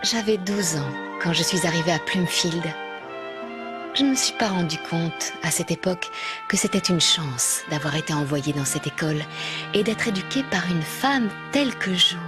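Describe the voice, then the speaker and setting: A woman acting as a nostalgic grown woman narrating a cartoon. Her voice sits slightly low, and she speaks in a measured, tender way as she reflects on childhood. Music plays clearly beneath her. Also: lively intonation, natural voice. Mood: wistful. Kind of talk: reflective scripted narration in a French animated series dub. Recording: music playing under the voice.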